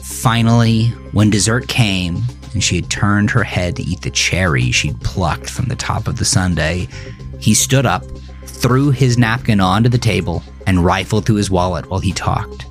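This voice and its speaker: A man reading a scripted horror thriller story in a measured, deliberate way. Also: deep voice. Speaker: narrator. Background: music.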